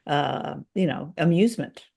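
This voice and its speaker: A woman's voice: low voice, moderate pace, creaky, mellow, slightly rough. A North American woman co-hosting a podcast, chatting casually in an agreeable, conversational way. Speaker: podcast co-host. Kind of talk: casual chat.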